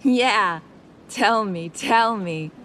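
A man's voice: high-pitched, extremely slow, pushed and bright, slight background noise, voice pushed up, exaggerated voice. A man voicing a pleading, sulky little girl with a whiny, childlike way of talking in a told story. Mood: eager.

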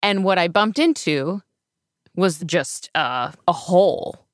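Female radio correspondent, low register, dry close studio sound, informal storyteller. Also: expressive, slow pace, dry recording. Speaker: radio science correspondent and reporter.

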